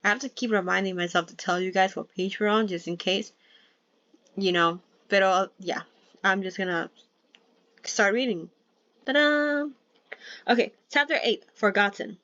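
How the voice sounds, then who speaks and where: Woman, chatty book-reading host, rambling, mid-pitched voice, close dry muffled recording. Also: moderate pace, long pauses, fairly bright tone, no room sound. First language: not Thai. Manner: chatty and rambling, frequent restarts and "um"s, laughs at herself. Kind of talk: casual chat to viewers before reading aloud. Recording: dull dry home recording.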